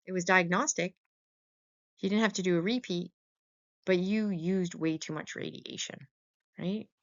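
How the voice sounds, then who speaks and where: A woman's voice: on the low side, a little slow. A woman teaching as a lecturer in an informal, conversational way, often checking in with her students through short questions. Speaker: lecturer teaching veterinary radiography. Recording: dull, lo-fi voice-over recording.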